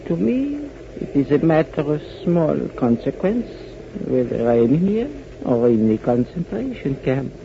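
An actor playing a frail, proud old European man, halting and solemn, in 1940s radio drama style. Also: on the high side, big pitch swings, full character voice. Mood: resigned. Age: older. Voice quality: creaky. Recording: muffled, hissy 1940s radio recording.

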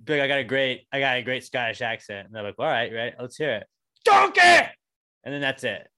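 Casual podcast host, male, bright tone, swoops his pitch, jokes and laughs a lot. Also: normal speed. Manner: joking, animated, laughs often. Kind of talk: casual chat.

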